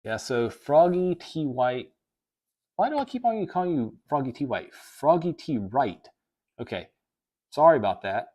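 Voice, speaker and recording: A man's voice: mid-range voice, very animated, unhurried, a little mellow. Man, southern US accent, casual tech livestream host, rambling, reads chat aloud. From American South. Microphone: desk microphone.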